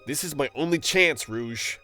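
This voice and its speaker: A man's voice: fairly high pitch, expressive, moderate pace, pushed and bright, soft background music. A man playing a proud, stubborn echidna guardian in an audio drama, with a defensive manner.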